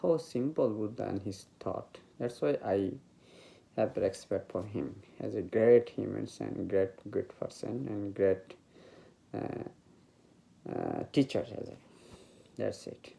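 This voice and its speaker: A man with a Bengali accent, speaking English as a later language, giving an informal spiritual talk about meditation, halting and searching for words. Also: lowish voice, vocal fry, dark tone. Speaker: meditation teacher.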